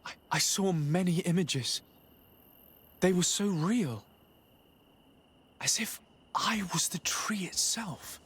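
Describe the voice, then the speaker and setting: An actor playing an earnest young nobleman emissary in a video game, speaking in a measured, formal way. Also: high-pitched, animated, a bit bright, breathy edge, heavily acted. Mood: shaken, hushed. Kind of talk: video game cutscene dialogue.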